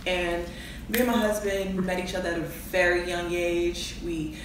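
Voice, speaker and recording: A woman in her thirties speaks casually, as if among a group, telling stories from her own life. Her voice sits a little low. She is recorded from well off the mic in a heavily echoing room with clear background noise. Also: level tone, normal speed, recorded from a distance. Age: 30s. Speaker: panelist on a relationship talk show. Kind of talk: casual group chat, personal storytelling.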